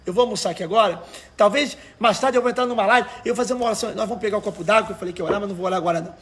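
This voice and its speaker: A Brazilian man with a markedly high, raspy voice preaches as an evangelical pastor. His delivery is colloquial and emphatic, pressing the listener with rhetorical questions and exhortations in the informal manner of a livestream sermon. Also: rapid-fire. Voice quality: hoarse, raspy.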